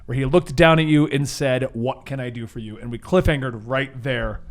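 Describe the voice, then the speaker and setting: A man speaking casually as host and game master, animated and jokey as he banters and recaps. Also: mid-range voice, normal speed. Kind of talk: casual banter and recap for a tabletop gaming show.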